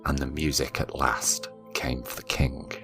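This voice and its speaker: Extremely deep-voiced man telling a grave tale. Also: flat delivery, a little slow, sharp tone. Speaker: storyteller. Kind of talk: dramatic scripted story narration. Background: instrumental music.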